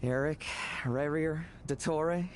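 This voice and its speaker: A man's voice: a little high, slow, slight background noise. An actor playing a cocky young male knight captain in a video game, theatrical and taunting, eager for battle. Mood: grim resolve. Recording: loud game music behind.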